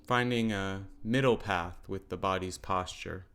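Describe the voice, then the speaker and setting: A man with a fairly low, smooth and clear voice teaches a guided meditation. He gives gentle instructions without hurry, leaving pauses between his phrases. The delivery suits a mindfulness practice.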